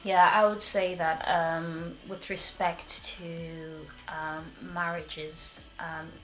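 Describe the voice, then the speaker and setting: A woman's voice: fairly low pitch, flat delivery, very slow, fairly bright tone. A young woman from Malawi who grew up partly in England, giving reflective, hesitant interview answers. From born in Malawi, grew up there and in Manchester, England. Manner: hesitant, tentative phrasing. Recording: echoey room.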